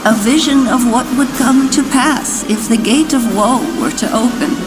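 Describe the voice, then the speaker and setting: An actress playing an earnest seer in a fantasy game, speaking with solemn, dramatic foreboding. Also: female voice, fairly high pitch, moderate pace, lightly acted. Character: earnest, foreboding female seer pleading for help. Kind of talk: fantasy game cutscene acting. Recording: loud music behind the voice.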